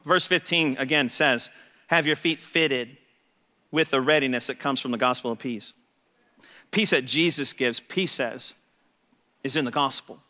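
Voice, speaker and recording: A man's voice: mid-range voice, unhurried, pauses often, bright, forceful tone. A man with an American accent preaching a sermon as a pastor, emphatic, repeating phrases to drive them home. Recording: reverberant hall sound.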